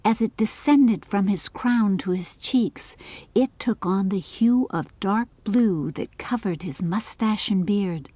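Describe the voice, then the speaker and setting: A woman narrating a scripted audiobook story in a measured, deliberate, literary manner. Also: medium pitch, mellow, dry recording.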